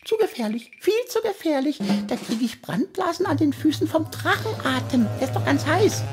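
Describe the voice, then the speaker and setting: High-pitched cheeky little boy king. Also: big pitch swings, moderate pace. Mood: defiant, excited. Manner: childlike, dramatic. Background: music.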